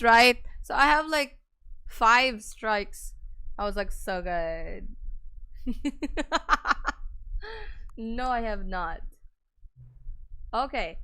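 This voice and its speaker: Slow-talking raspy young woman streamer. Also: medium pitch, sharp tone. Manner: chatty, greets chat, laughs often. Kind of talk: casual live-stream chat while gaming.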